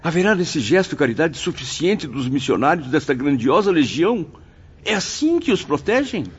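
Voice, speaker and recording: A Brazilian actor playing an indignant, protesting spirit pupil with a raspy voice in a radio drama. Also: male voice, fairly high pitch, sing-song, moderate pace, exaggerated voice. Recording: dull lo-fi audio.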